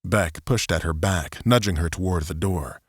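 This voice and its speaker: Lower-pitched male audiobook narrator. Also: normal speed, no room sound, clean studio sound. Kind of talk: scripted dramatic fiction narration.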